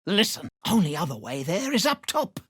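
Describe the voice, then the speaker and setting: Urgent, hot-tempered gnome captive. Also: male voice, high-pitched, big pitch swings, moderate pace, dry recording, clean studio sound, full character voice. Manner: urgent, rough-spoken, emotional. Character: desperate, hot-tempered male gnome captive and explosives expert. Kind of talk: video game character voice lines.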